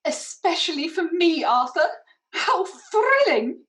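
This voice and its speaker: A woman's voice: very high-pitched, big pitch swings, slow, fairly bright tone, exaggerated voice. An English woman acting a genteel, adoring young Victorian lady in a radio play. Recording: echoey room.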